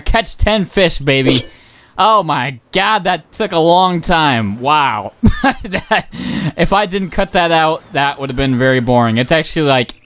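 Hoarse young man rambling over gameplay. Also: high voice. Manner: rambling, excitable, swears, many ums and uhs. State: voice going hoarse. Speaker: gaming channel host. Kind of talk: casual gameplay commentary.

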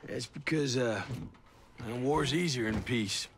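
A man playing a cocky, easygoing, cynical American special-forces soldier in a video game, talking in a halting drawl with casual swagger. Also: mid-range voice, a little hiss or hum, lightly acted. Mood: musing, cynical. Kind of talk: video game cutscene acting.

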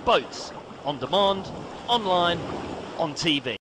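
A man reading a promotional ad voice-over in a slow, emphatic way. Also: sits high, animated, very noisy. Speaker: ad announcer. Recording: ad voice-over over music.

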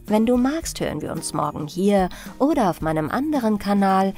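A woman narrating a bedtime fairy tale in a gentle, soothing, expressive storytelling manner. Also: medium pitch, normal speed, faint music behind. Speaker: audiobook narrator.

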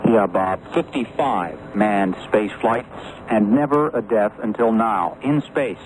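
A man reporting background facts on live radio news, slow and deliberate, from a shortwave recording. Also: lowish voice, slight background noise, rough recording. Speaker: wire service reporter.

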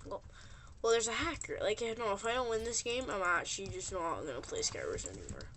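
Animated, bright-voiced young-sounding gamer with keyboard clicks. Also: medium pitch, a little fast. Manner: rambling, informal, exclamatory. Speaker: gaming video host. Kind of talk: excitable gameplay commentary. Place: indoors at a computer. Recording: background noise with keyboard clicks. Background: keyboard typing and mouse clicks.

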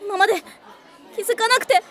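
A woman's voice: very high-pitched, quick pace, pushed and bright, a faint crowd. An actress playing an oblivious, earnest young woman at an office who speaks politely but bluntly and takes everything literally. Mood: formal.

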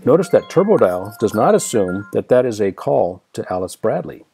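Deep-voiced American man presenting a software tutorial. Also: sing-song, moderate pace, gravelly, faint music behind. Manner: methodical, explanatory. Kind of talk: step-by-step screen demonstration narration.

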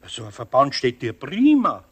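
A man's voice: mid-range voice, wildly swooping pitch, normal speed, breathy edge. A man playing an older craftsman with a Bavarian accent in a children's radio play, grumbly but caring, scolding and coaxing. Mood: teasing.

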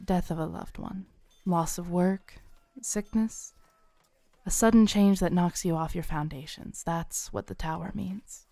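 A woman playing a kindly, knowing tarot reader at a Renaissance faire, speaking softly, smoothly and soothingly to the listener in an audio roleplay. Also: fairly low pitch, fairly even intonation, no echo. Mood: mysterious, reassuring. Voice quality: smooth, soft. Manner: unhurried, soothing, theatrical, with dramatic pauses. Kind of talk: soft-spoken audio roleplay addressed to the listener. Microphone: close studio mic, dry.

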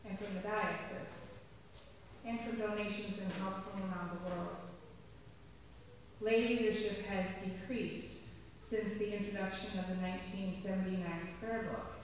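A middle-aged woman priest reading a sermon from the pulpit, measured, slowing down to stress key phrases. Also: mid-range voice, a little hiss or hum. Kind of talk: read sermon. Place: large church sanctuary. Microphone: pulpit mic at a distance. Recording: echoey church hall from far off.

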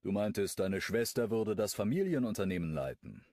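A man's voice: fairly low pitch, sing-song, moderate pace, darkish tone, no echo, clean studio sound. A male audiobook narrator voicing a blunt, insistent older father in a somewhat raised voice. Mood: demanding. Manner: insistent, probing.

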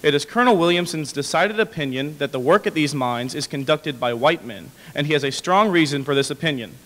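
A young man reading a formal historical letter aloud at a podium microphone, somewhat halting over the words. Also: fairly high pitch, animated, fairly bright tone. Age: young adult. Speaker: dramatic reader. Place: indoor hall with brick walls, small audience. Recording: reverberant hall.

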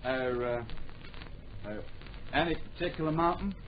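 An actor playing a polite, determined young adventurer in an old radio drama. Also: male voice, on the high side, measured pace, natural voice. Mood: hesitant, eager. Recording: muffled, noisy old radio recording.

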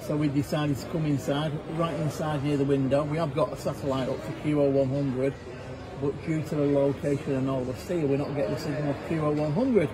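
Radio operator: man, middle-aged, voice a touch high, courteous and chatty, recorded in a noisy event room. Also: fairly even intonation, brisk. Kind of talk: casual amateur radio contact with formal call signs. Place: indoors by a window at an event. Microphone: bystander's camera near the operator, who holds a hand microphone. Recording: clear background noise, busy event room.

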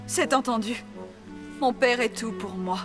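A woman playing a gentle, devoted young peasant queen in a fairytale cartoon. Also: on the high side, unhurried, lightly acted. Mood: sincere. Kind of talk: French-dubbed fairytale cartoon acting. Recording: music behind the voice.

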